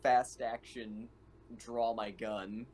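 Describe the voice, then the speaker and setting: A man playing a tabletop roleplaying game, chatting casually and thinking out loud in a tentative way. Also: medium pitch, level tone, extremely slow.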